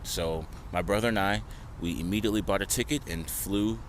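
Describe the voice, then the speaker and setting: A man's voice: on the low side, fairly quick. A man from the United States answering questions in a casual, emphatic street interview. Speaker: foreign interviewee. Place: outdoors on a city street. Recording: outdoor street with clear traffic noise.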